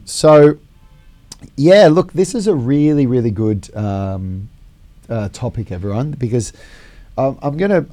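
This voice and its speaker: A middle-aged man who has lost his voice hosts a gym podcast, speaking quietly and rambling with many fillers and restarts. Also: on the low side. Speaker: gym co-founder and podcast host. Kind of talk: casual podcast talk.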